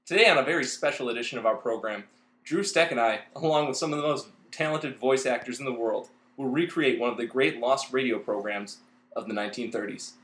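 A man's voice: medium pitch, brisk, fairly bright tone, roomy sound, low-quality recording. A man hosting a podcast, reading a scripted, announcer-like introduction. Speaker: podcast host.

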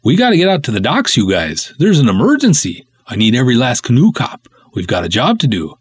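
Comic serial: earnest, simple Norwegian-American canoe cop, played by a man, animated, dry close recording. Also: medium pitch, fairly quick, breathy edge, dry recording. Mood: eager. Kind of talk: comic first-person serial storytelling.

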